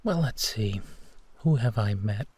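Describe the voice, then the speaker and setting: A man playing a gentle, protective werewolf who tenderly reassures his partner in an intimate bedtime roleplay, leaving long pauses. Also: lowish voice, expressive, breathy edge, dry recording. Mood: gently wry. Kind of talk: intimate roleplay audio, soft bedtime comfort.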